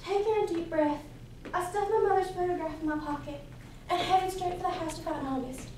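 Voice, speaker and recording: A woman's voice: very high-pitched, fairly quick, darkish tone. A woman performing a dramatic monologue as a troubled, earnest fourteen-year-old runaway girl, with intense, theatrical storytelling. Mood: anxious resolve. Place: indoor competition room, distant camera. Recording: echoey room from far off, noisy.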